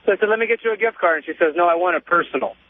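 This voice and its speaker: High-voiced man on a tinny phone. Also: very fast. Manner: slangy, informal. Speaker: radio show caller. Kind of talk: casual storytelling. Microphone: telephone. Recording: tinny narrow phone line.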